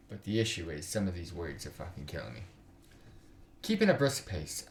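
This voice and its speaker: A man's voice: on the low side, vocal fry, reverberant. A young man live streaming a game, reading story text aloud with some stumbles and slangy, joking asides. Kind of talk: casual livestream, reading visual novel text aloud with side comments. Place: indoors at a computer.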